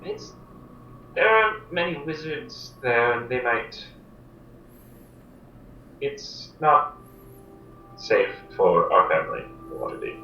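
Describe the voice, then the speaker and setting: A man voicing a guarded young noblewoman from a shunned family, speaking in a hesitant, halting way. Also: mid-range voice, huge pitch swings, bright, forceful tone, dull audio, soft background music, exaggerated voice. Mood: wary. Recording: roomy echo, mic far off.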